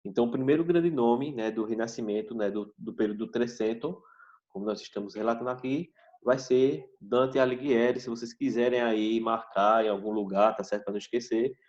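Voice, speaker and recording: A man teaching a history class over a video call, explaining slowly and checking in with "tá certo?" after each point. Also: medium pitch, a little mellow. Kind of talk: online class lecture. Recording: dull video call audio.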